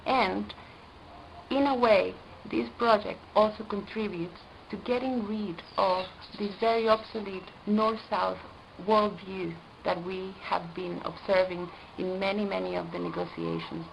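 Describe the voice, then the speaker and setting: A woman from Costa Rica, an environmental specialist, speaking in an earnest, deliberate way and stressing key words. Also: medium pitch, animated, gravelly, slight background noise, rough recording. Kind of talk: prepared video statement.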